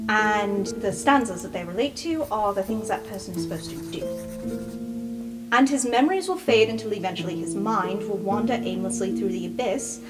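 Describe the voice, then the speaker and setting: A woman's voice: mid-range voice, lightly acted. A woman voicing a clever, assured young university student in an improvised tabletop game, explaining things insistently. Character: confident, clever young female university student cracking codes.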